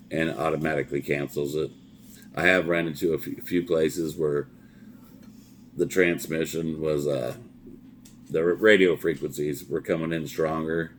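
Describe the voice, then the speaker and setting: Casting: male, middle-aged, extremely low register, folksy trucker type, unscripted casual gear review, slight ambient noise behind. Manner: plain-spoken, folksy, repeats words as he goes. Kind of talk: casual product review chat.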